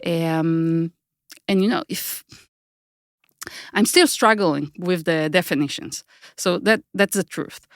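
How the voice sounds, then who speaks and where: A woman's voice: lowish voice, slow pace, pauses often, smooth, no echo, studio quality. A middle-aged woman with an Israeli accent, a native Hebrew speaker, answering interview questions thoughtfully and searching for her words. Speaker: guest, author.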